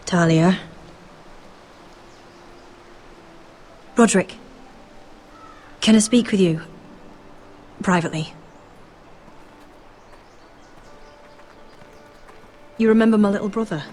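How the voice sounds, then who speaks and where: A woman's voice: mid-range voice, normal speed, a little mellow, close to an everyday voice. An actress playing a proud young noblewoman in a fantasy video game, speaking with defiant, pleading intensity. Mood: anxious, warm. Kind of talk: video game dramatic acting.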